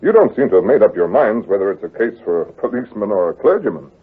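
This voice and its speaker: A man's voice: fairly low pitch, very animated, fast, breathy edge. A man playing a proud, stubborn young heir in an old-time radio drama, blunt and resolute after hesitant starts. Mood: puzzled, wry. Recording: tinny, muffled vintage radio recording.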